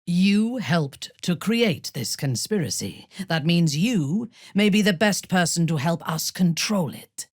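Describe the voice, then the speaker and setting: An actress voicing a ruthless, haughty female warrior commander in a video game, with a husky, breathy voice and an imperious, menacing delivery. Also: fairly low pitch, expressive, takes it slowly, hardly any pauses, no echo, clean studio sound, heavily acted. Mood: measured, persuasive. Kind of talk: dramatic video game voice acting.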